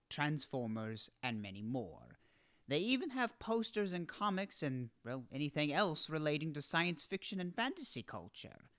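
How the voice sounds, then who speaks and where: A man's voice: medium pitch, a bit bright. A man playing a genial vampire count who hosts a horror show and reads scripted patter and sponsor spots. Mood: upbeat, promotional.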